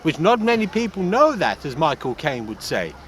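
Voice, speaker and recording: A man's voice: a little high, very animated, normal speed. A man playing a giggly, boastful Norwegian would-be tobogganist, speaking broken English in a put-on foreign accent outdoors. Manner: boastful and earnest. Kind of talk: improvised comedy sketch. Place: outdoors on a snowy slope. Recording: noisy outdoor recording, traffic nearby.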